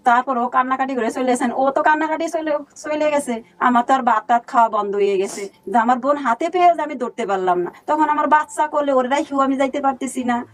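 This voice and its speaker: A woman's voice: high-pitched, fairly quick. A woman with a Bangladeshi accent telling a personal story as a guest. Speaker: guest, relative of another guest.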